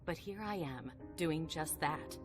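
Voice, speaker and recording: A female vampire sire in a video game cutscene, her voice notably deep. She is cynical and guarded yet protective, speaking with dry, emphatic irony over prominent music. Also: lively intonation, measured pace. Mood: guarded, measured. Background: background music.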